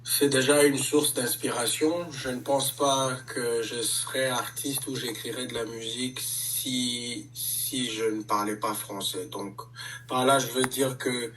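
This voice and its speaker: Un homme, artiste de hip-hop, qui répond calmement à une interview, avec beaucoup d'hésitations. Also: male voice, mid-range voice, far from the mic, a little hiss or hum, low-quality recording. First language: French. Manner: measured, many hesitations. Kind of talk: casual interview answers.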